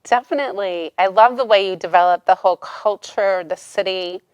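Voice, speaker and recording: A woman's voice: mid-range voice, normal speed, smooth, no room sound, lo-fi sound. A woman interviewing an author, chatting casually and encouragingly. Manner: enthusiastic, encouraging.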